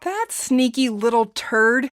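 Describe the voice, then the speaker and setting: An actress playing a playful, meddling, romcom-obsessed sister in an audio drama, chatty, sassy and casually profane. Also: female voice, fairly high pitch, animated, takes it slowly, faint music behind. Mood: excited, scheming. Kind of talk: audio drama acting with narration to listener.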